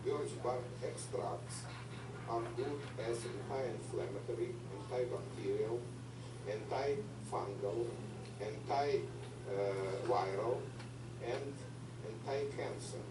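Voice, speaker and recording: A man, a scientist, speaking slowly and deliberately in a TV interview clip. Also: medium pitch, distant mic. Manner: slow, deliberate speech with pauses. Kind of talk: TV interview soundbite. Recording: TV audio played into an echoey room, noisy.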